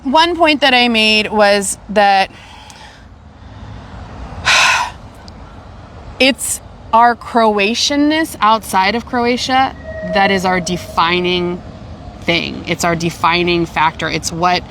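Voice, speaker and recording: A woman's voice: mid-range voice, takes it slowly, long pauses, fairly bright tone, a little hiss or hum. A middle-aged woman from Canada, hosting a casual podcast chat. She talks animatedly and repeats words while she searches for them. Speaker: podcast host.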